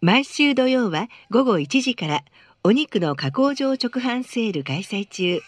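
A woman's voice: fairly high pitch, sing-song, slow, no room sound. A woman reading scripted advertising announcements for a bus, politely and formally.

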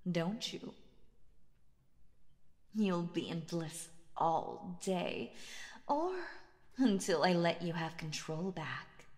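A woman playing a calm, possessive, dominant boss in an intimate ASMR roleplay, speaking in a coaxing, breathy voice that often slips into whispers. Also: on the low side. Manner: slow, coaxing, seductively dominant. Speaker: voice actor narrating roleplay. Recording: long reverb on the voice.

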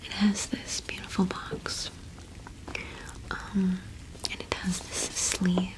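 Young woman whispering slowly, ASMR. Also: fairly low pitch, monotone, low-quality recording. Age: young adult. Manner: gentle, unhurried whispering with pauses. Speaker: ASMR performer playing a cottage witch shopkeeper. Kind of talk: ASMR roleplay as a shopkeeper, whispered.

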